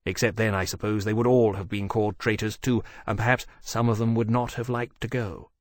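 A man's voice: on the low side, little pitch movement, fairly quick, no echo, natural voice. Man voicing an earnest, precise dragon. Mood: displeased. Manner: precise, earnest, formal phrasing. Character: earnest, eager young male dragon, polite and bossy.

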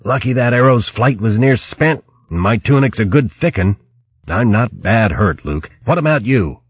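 A man's voice: medium pitch, lively intonation, normal speed, husky, dry recording, dull audio, pitched above the performer's own voice. A man voicing a trusting, hearty mouse sailor in a storybook, speaking in earnest, plain seafaring talk. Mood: brave.

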